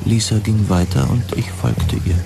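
Gravelly male audio drama narrator. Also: on the low side, normal speed, background noise. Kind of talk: scripted first-person story narration.

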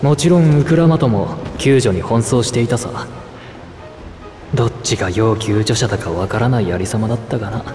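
Dry calm storyteller guy, man voicing him, lots of echo, music behind. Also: medium pitch, fairly quick, close to an everyday voice. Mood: reflective. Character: calm, laconic male adventurer recounting the past. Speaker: narrator. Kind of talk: reflective game narration.

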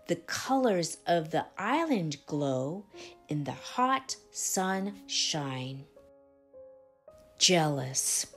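Slow, expressive woman reading a storybook. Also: on the low side. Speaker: storybook reader. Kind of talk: expressive children's picture-book read-aloud. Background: music.

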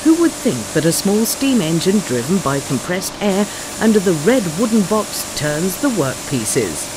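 A narrator reading a scripted factory documentary in a measured, explanatory way. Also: mid-range voice, big pitch swings, noisy background. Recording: music bed behind narration. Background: background music.

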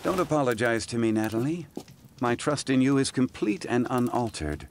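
An actor playing an obsessive, wealthy supervillain in an animated game, speaking in a formal, theatrical, deliberate way. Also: male voice, mid-range voice, slight background noise, natural voice. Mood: earnest gratitude. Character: obsessive, imperious, wealthy male supervillain with grand ambitions. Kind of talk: dramatic animated game cutscene acting.

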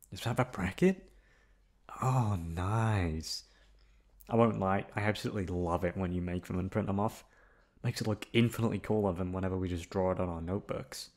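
Man acting as a low-voiced, playful college guy. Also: natural voice. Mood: excited. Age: young adult.

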